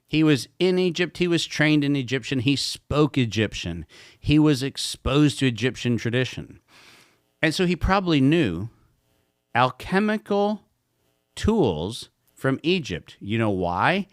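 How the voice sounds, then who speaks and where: A man's voice: medium pitch, very animated, measured pace, dry recording, clean studio sound. A man speaking as a guest expert in a podcast conversation, talking emphatically and posing rhetorical questions.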